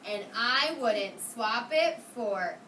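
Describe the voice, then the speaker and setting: A woman with a high-pitched voice works as a kindergarten teacher, reading a story aloud to young children. She keeps stopping to question and encourage them. The sound is roomy and picked up from a distance, with clear classroom noise behind her. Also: animated, drawn-out words, bright, forceful tone. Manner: encouraging, questioning, praising pupils.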